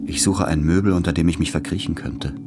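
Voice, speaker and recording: Actor as an anxious, low-voiced man in love, over music. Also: steady tone, fast, lightly acted. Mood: fearful, confessional. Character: anxious, fearful, needy man in love, tormented writer. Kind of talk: literary radio drama monologue.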